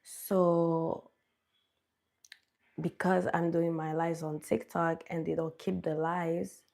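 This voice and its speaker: Slow, gravelly-voiced young woman. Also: fairly low pitch, level tone, darkish tone. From Canada. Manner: chatty, greets viewers by name. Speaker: livestream host. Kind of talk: casual livestream chat. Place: home in a cold region.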